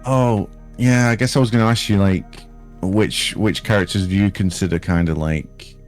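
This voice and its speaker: Low-voiced, laid-back guy. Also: steady tone, normal speed, soft background music. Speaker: gaming content creator, interview guest. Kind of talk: casual chat.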